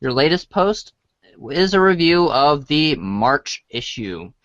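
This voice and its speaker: A man with a fairly high voice narrates like a read-along storybook. He takes it very slowly and stumbles over words. The sound is dry and a little dull. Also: very animated, fairly bright tone, dry recording. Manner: halting reading with stumbles. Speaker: co-host and narrator.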